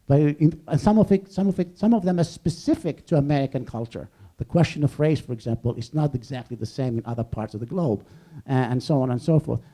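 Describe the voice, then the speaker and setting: A man with a very dark, soft-edged voice, pitched a little on the high side, speaks as a professor on a panel. He works through ideas in a discursive, halting way, often restating a phrase before moving on. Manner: discursive, halting, rephrasing as he goes. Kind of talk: extemporaneous academic discussion before an audience.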